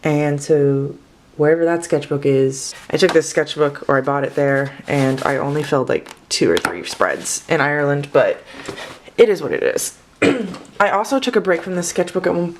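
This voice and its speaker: A woman's voice: low-pitched, lively intonation, normal speed, slight background noise. A woman in her twenties, sick and sounding a little off, chatting casually and rambling as she flips through her sketchbook. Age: 20s. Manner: chatty, rambling, self-correcting. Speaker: artist vlogger.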